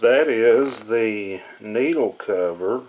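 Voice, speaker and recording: Man, slow halting delivery, casual hobbyist demo, medium pitch, noisy camera audio. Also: a little mellow. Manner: halting, thinking aloud while tinkering. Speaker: hobbyist demonstrating a reel-to-reel tape recorder. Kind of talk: casual off-the-cuff video narration. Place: small cluttered room at home, indoors. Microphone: camera microphone near the equipment.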